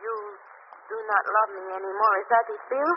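A breathy, seductive jungle woman. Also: a little high, big pitch swings, moderate pace. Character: sultry strange woman from South American jungle. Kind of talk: 1940s radio acting. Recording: muffled old radio broadcast with hiss.

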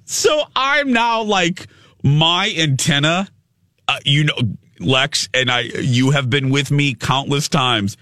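Male radio host, big loud voice, lively swooping pitch, dry close sound. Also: takes it slowly, no echo. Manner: swears casually. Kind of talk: storytelling chat on air.

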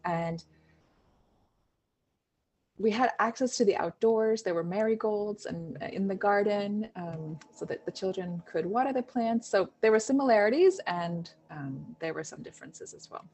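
A woman, an education director, answering interview questions in a relaxed storytelling way, with fillers and restarts. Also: medium pitch, normal speed. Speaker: Montessori education director, guest.

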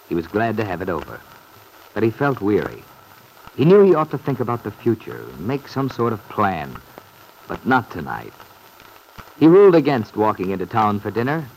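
Low-voiced radio serial storyteller, richly inflected. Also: male voice. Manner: measured, literary storytelling. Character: male narrator of a domestic serial, measured storyteller. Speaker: narrator. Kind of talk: old-time radio drama narration. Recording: noisy old radio recording.

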